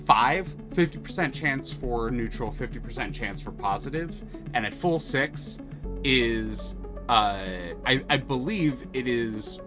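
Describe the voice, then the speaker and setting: A man's voice: medium pitch, expressive, slow pace. Rambling enthusiastic male streamer. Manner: rambling, enthusiastic, many restarts. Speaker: streamer playing a game. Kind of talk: casual chat, explaining game mechanics. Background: music.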